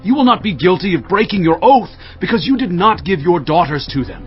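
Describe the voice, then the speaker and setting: High-pitched, animated Israelite elder. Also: male voice, fairly quick. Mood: urgent, persuasive. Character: male elder of an Israelite assembly, grave, persuasive schemer. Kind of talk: dramatized audio Bible acting. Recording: dull lo-fi audio, faint music behind. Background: faint music.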